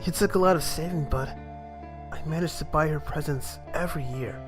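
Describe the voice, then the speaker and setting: Man acting a cool, high-pitched young guy. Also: brisk, music in the background, lightly acted. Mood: wistful. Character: cool, aloof young man, wistful older brother. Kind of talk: fan dub of a visual novel game.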